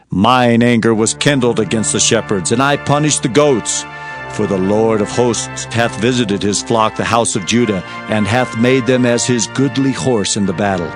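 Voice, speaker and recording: A man's voice: medium pitch, natural voice. Guy doing an old testament prophet for bible audio drama, solemn and fervent, declaiming, music under it. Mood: solemn, proclaiming. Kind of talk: dramatized scripture reading. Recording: music behind the voice.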